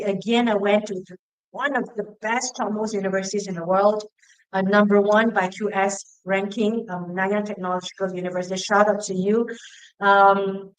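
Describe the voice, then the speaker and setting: A woman's voice: mid-range voice, poor audio. A woman from Singapore, hosting a casual talk show and asking her guest rambling, restarting questions. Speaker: podcast host. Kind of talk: casual conversation.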